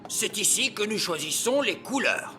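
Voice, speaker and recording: A man's voice: high-pitched, very animated, moderate pace. A man voicing a wise, proud old male elf in a children's cartoon, courteous and formal, with emphatic delivery. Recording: long reverb, music behind.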